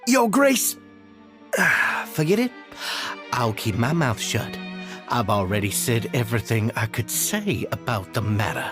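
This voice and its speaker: Animated, defensive subordinate, music behind. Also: male voice, medium pitch, unhurried. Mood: exasperated, resigned. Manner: formal, emphatic, defensive. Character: exasperated male subordinate pleading formally with his superior. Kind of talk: video game voice acting.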